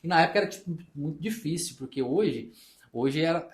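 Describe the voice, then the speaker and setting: Fast-talking Brazilian man telling stories. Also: on the high side, animated. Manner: fast-talking, storytelling, reenacts dialogue. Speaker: accountant and business partner. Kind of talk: casual podcast conversation.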